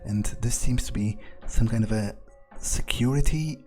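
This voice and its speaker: A man thinking aloud in character as he plays a video game, muttering deliberately and swearing now and then. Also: fairly low pitch, dark tone, soft background music. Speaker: gamer doing an in-character playthrough.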